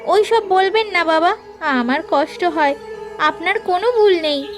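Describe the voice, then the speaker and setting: Woman playing a high-pitched, wistful young daughter. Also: animated, measured pace. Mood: consoling. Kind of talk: Bengali animated folk tale dialogue. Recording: music behind the voice.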